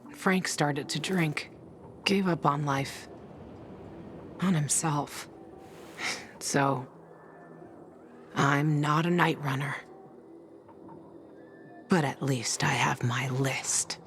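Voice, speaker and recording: A woman's voice: low-pitched, a little hiss or hum. An actress playing a hard-bitten, sardonic young woman in a video game, blunt and guarded. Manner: blunt, dry, profane. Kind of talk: video game character acting.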